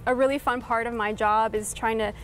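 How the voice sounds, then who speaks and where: A woman in her thirties, from Chile, answering interview questions in a studio in a friendly, relaxed, explanatory way. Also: a little high, fairly quick, a little hiss or hum. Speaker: guest curator. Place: dark TV studio.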